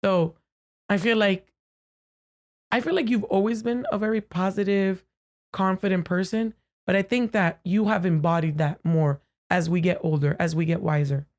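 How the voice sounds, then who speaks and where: A woman co-hosting a podcast with a deep voice, talking earnestly to a friend and often restarting her sentences. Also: fairly even intonation, a little mellow. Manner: earnest, halting with many restarts. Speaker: podcast co-host. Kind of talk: casual heartfelt chat. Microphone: podcast microphone, no camera.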